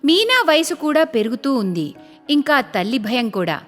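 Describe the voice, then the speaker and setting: A woman narrating a scripted cartoon story in an expressive storytelling style. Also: high voice, moderate pace, in a large hall. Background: faint music.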